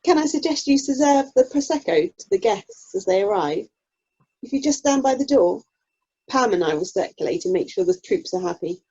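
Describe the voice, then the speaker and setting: Actress as down-to-earth British club lady: soft-toned, easygoing, kindly chat, dull lo-fi call audio, amateur radio play. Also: high-pitched, sing-song, moderate pace, breathy edge, exaggerated voice. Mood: chatty. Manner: easygoing, complimentary. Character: kindly, chatty, down-to-earth drama club woman. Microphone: video call. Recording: muffled lo-fi video call audio.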